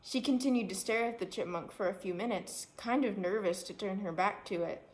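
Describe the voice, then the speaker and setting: A woman's voice: mid-range voice, fairly quick, rough recording. A woman reading a children's story aloud as scripted narration. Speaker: story reader. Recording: faint background noise.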